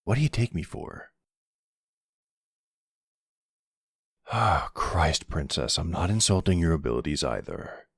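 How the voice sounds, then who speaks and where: A man playing a flirty, cocky vampire with a gravelly, husky voice, teasing the listener in an intimate ASMR roleplay. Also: low-pitched, big pitch swings, normal speed, fairly bright tone, no echo, clean studio sound, exaggerated voice. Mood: exhilarated, teasing. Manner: teasing, sardonic drawl.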